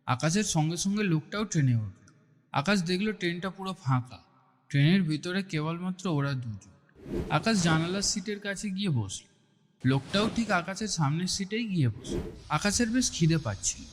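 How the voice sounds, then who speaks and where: A man's voice: high voice, animated, moderate pace, background noise. A man giving a scripted narration of a horror story. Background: music.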